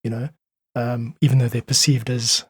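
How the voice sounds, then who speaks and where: Husky, earnest man. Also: mid-range voice, little pitch movement, normal speed. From South Africa. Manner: earnest, philosophical, emphatic repetition. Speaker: podcast guest, independent musician. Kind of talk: reflective casual conversation.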